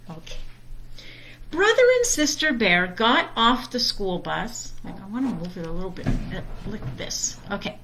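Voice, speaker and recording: Animated bright-voiced woman reading to kids. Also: a little high. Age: middle-aged. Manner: chatty, expressive, easily distracted by greetings. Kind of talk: animated children's storybook read-aloud with casual bilingual asides.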